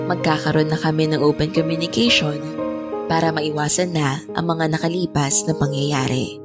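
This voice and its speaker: A woman playing a reflective, forgiving young woman, narrating her story earnestly in an audio drama. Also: low-pitched. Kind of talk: scripted first-person drama narration. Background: loud new-age music.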